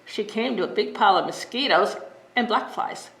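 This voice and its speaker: A woman librarian reading a bedtime story aloud in a gentle, expressive way. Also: medium pitch, moderate pace, vocal fry. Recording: roomy echo.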